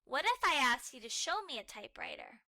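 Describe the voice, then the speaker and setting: Kindly questioning lady dog, played by a woman, storybook cartoon: calm, encouraging, melodic, bright. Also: sits high, a little fast, no echo, full character voice. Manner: gently questioning, approving.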